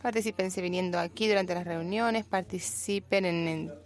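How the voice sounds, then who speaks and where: A woman's voice: on the low side, fairly even intonation, moderate pace. Rioplatense woman interpreting at a meeting. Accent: Rioplatense Spanish. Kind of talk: simultaneous interpretation.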